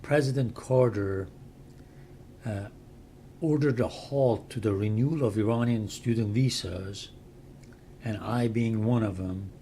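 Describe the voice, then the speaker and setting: An older man from Iran with a Persian accent, speaking slowly and deliberately in an explanatory talk for his channel. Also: lowish voice, warm tone, slight background noise. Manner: measured, deliberate, with pauses. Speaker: channel host and translator. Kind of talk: slow explanatory talk to camera.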